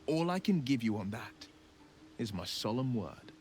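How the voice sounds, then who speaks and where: A man's voice: mid-range voice, big pitch swings, moderate pace, a little mellow, a little hiss or hum, natural voice. A man playing a horned, pact-bound warlock hero in a video game, speaking with solemn, eloquent, theatrical earnestness. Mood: solemn, earnest. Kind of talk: dramatic video game acting.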